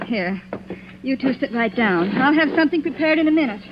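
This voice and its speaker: A woman acting an anxious, gentle young wife in an earnest, fretful manner in an old 1940s-style radio drama. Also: high-pitched, animated, a little fast, lo-fi sound, noisy background. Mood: coaxing. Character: anxious, gentle young wife visiting her strange aunt.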